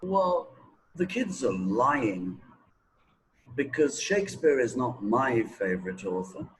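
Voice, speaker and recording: Middle aged guy answering interview questions, really slow and opinionated, bit of noise behind him. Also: medium pitch, very animated, poor audio. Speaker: English teaching expert being interviewed.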